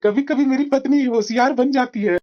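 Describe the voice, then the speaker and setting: A woman's voice: sits high, fairly quick, a little hiss or hum, close to an everyday voice. A woman voicing a pompous, entitled, complaining young son-in-law in a cartoon family drama. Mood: smug. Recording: dull, lo-fi sound.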